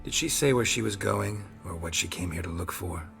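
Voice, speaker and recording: An actor playing a gruff, stoic monster hunter in a fantasy game, terse and dryly deadpan. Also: male voice, deep voice, normal speed, exaggerated voice. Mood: calm. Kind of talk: video game voice acting. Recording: loud music under the voice.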